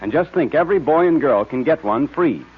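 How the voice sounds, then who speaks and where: A man announcing and pitching for a sponsor on 1940s radio in a hearty, emphatic way. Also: expressive, a little fast, slightly rough. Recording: muffled vintage radio recording.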